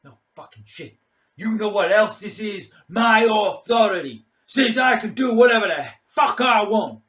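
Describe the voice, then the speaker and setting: A man's voice: sits high, expressive, measured pace, fairly bright tone, echoey room, pitched above the performer's own voice. A man voicing a drunk, domineering, foul-mouthed middle-aged Marine commander in a performed short story. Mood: barking, enraged. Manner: barking, domineering, profane.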